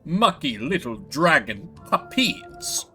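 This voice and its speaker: A man's voice: on the high side, big pitch swings, slow, bright, forceful tone, faint music behind, voice pushed down, exaggerated voice. A man voicing a boastful, theatrical dragon slayer in a fantasy video game, pompous and florid in his storytelling. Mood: dramatic bragging. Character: boastful male dragon slayer, grandiose, theatrical, self-styled hero.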